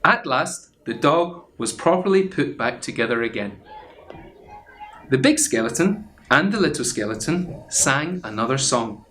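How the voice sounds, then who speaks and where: A man reads a children's picture book at a slow, measured pace. His delivery is theatrical and suspenseful, lingering on repeated phrases. There is clear noise in the background. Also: medium pitch, lively intonation, fairly bright tone. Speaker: teacher reading a story. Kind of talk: expressive children's picture-book read-aloud.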